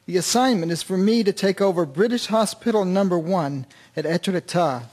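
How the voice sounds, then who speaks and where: A man's voice: high voice, normal speed, darkish tone. A man playing a courteous army surgeon and officer in a radio drama, talking formally. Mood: courteous, resolute.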